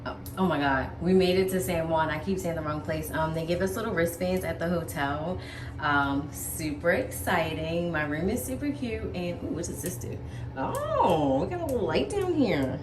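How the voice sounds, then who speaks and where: A woman's voice: fairly low pitch, expressive, moderate pace. Bubbly woman vlogging on a phone. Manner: bubbly, chatty, full of fillers. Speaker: flight attendant vlogger. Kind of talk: casual travel vlog. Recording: roomy sound with background noise.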